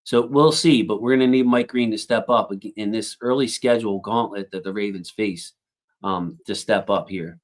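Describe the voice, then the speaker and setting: A man hosting a casual football livestream, reading out viewer comments and thinking aloud with lots of fillers, and his voice has a slightly squeaky edge. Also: moderate pace. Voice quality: self-described squeaky voice. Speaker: football channel host reading live chat.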